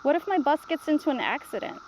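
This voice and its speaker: Woman reading as an anxious, inquisitive young child, high-pitched. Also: very animated, fast talker, a little mellow, a little hiss or hum, low-quality recording. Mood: worried, curious. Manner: questioning, worried. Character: anxious, inquisitive young grandchild starting kindergarten. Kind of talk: children's storybook read-aloud.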